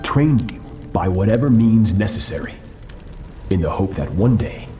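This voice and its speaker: A man voicing a cold, ruthless, proud swordmaster in a dramatic video game, stern and measured. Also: fairly low pitch, lively intonation, dark tone, faint music behind, lightly acted. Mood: proud, hungry.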